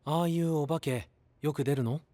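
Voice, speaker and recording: A man's voice: on the high side, moderate pace, dark tone, no room sound, close to an everyday voice. A man playing a friendly, observant, easygoing young man in an anime voice drama, with casual wording. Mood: curious.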